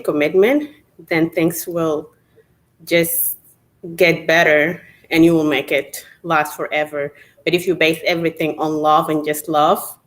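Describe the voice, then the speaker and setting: Woman from South Sudan, panelist, video call, straightforward, low-ish voice. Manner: straightforward, with "um" fillers. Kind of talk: casual panel answer.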